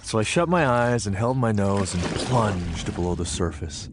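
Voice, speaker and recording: A man's voice: lowish voice, background noise, close to an everyday voice. An American actor playing an earnest, reflective Japanese American man recalling his farm childhood in a dramatic audio theatre monologue. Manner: expressive, deliberate storytelling with weighted pauses.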